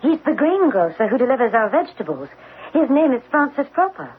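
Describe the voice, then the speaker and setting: An actress playing a polite, wide-eyed young gentlewoman in an old-time radio drama, eager and theatrical. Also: high voice, big pitch swings, a little fast. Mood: earnest. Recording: tinny, band-limited old radio recording, faint noise.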